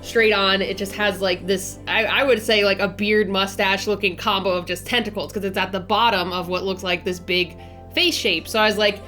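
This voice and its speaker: A woman's voice: medium pitch, steady tone, moderate pace, pushed and bright, faint music behind. A woman in her twenties with a nasal, stuffy voice from a head cold, chatting casually and upbeat as she talks through her drawing process, with lots of fillers and restarts. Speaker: art channel host. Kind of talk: casual art vlog narration.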